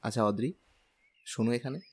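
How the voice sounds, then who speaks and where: A man's voice: medium pitch, a little fast, breathy edge. A man playing a lovestruck, cheeky young husband in an audio drama, stubborn and playful, with a pleading streak. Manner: pleading, playful, whiny with elders. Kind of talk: Bengali audio drama acting.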